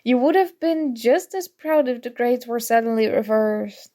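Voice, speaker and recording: A woman's voice: a little high, animated, measured pace. A young woman acting in an ASMR audio roleplay as a prickly but grudgingly fond student, teasing and defensive. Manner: teasing, defensive, flustered, soft-spoken tsundere.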